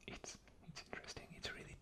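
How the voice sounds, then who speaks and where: A man whispering an ASMR demonstration, explaining patiently and haltingly with repetition. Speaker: guest ASMR performer.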